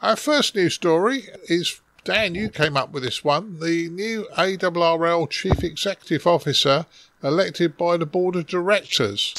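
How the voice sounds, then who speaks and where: A man's voice: sits high, measured pace, fairly bright tone, dry recording. A man casually hosting a podcast roundtable, introducing things in an unscripted way.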